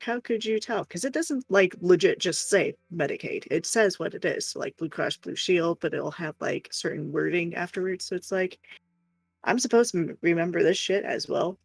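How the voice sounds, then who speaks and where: A woman's voice: lowish voice, gravelly, breathy edge, no echo. A woman streamer chatting casually and telling stories in a frank, exasperated, sweary way. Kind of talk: casual storytelling chat. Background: faint music.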